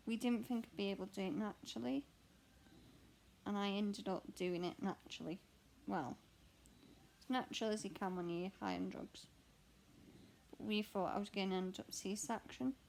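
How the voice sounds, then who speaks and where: A young woman with a croaky voice, chatting candidly and rambling while she stitches at home. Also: mid-range voice, darkish tone, slight background noise. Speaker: cross stitch vlogger and mother. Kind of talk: casual chat answering a question tag while stitching.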